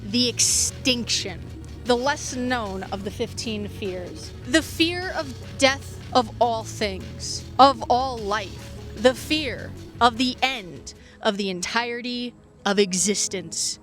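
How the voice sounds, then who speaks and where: A woman's voice: fairly high pitch, slow, fairly bright tone. A woman presenting a scripted video essay with casual asides and dramatic emphasis on key phrases. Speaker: video essayist reviewing fiction podcasts. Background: background music.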